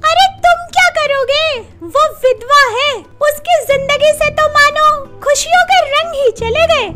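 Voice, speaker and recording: A woman playing a chatty, concerned young friend in a dramatized story, gossipy and expressive. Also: extremely high pitch, measured pace, over loud music. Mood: sympathetic.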